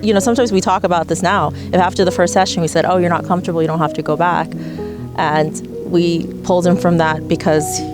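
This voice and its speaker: A middle-aged woman, a parent, calmly telling stories in a documentary interview. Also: fairly low pitch, fast talker. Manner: calm storytelling. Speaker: parent of the player. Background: guitar music.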